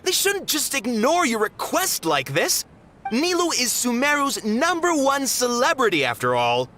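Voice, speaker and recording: A formal, dignified troupe master. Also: male voice, very high-pitched, huge pitch swings, measured pace, pushed and bright, slight background noise, exaggerated voice. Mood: indignant. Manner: formal, declamatory. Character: older man, theater troupe master, dignified and stern. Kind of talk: video game voice acting. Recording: game audio with music behind.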